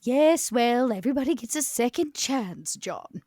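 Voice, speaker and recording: A woman's voice: fairly high pitch, expressive, a little mellow, no echo. Arch, formal woman in close dry sound. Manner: formal, arch, measured wording. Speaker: player in a tabletop role-playing game. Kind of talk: in-character improvised dialogue.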